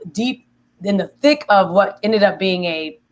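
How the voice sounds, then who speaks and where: A woman in her forties with a smoky, raspy voice, a singer-songwriter chatting casually in an interview and telling animated stories. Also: medium pitch, measured pace. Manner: animated storyteller, laughs easily, acts out quoted friends.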